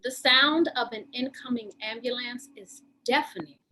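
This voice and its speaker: A woman's voice: fairly high pitch, moderate pace, pushed and bright. A woman reading dramatic scripted narration for a stage piece. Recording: noisy room ambience.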